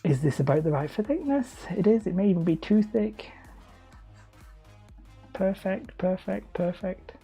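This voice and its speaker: A man casually chatting on a livestream while he crafts hobby terrain, rambling and self-deprecating as he reads the chat aloud. He calls his own voice high and whiny. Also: lively intonation, a little slow, vocal fry, long pauses, dark tone, faint music behind. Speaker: hobby terrain-making streamer.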